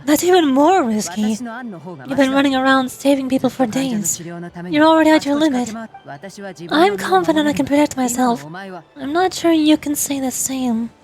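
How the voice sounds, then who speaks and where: Earnest stubborn young tribe girl, streamer lady reading her lines, high but a bit softer. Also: expressive, moderate pace, soft background music. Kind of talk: fan reading of game dialogue.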